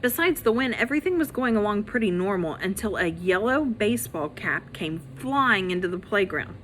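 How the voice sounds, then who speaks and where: A woman reading a children's story aloud as a teacher, in an expressive, animated way that acts out the characters. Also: medium pitch, normal speed, a little hiss or hum.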